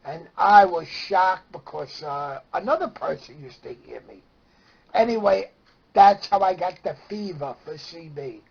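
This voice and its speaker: Raspy, high-pitched Brooklyn man reminiscing. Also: unhurried. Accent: Brooklyn New York. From Brooklyn, New York. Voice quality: raspy, gravelly. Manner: excitable, acts out remembered dialogue. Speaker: interview guest. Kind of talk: animated casual storytelling. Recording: dull lo-fi sound.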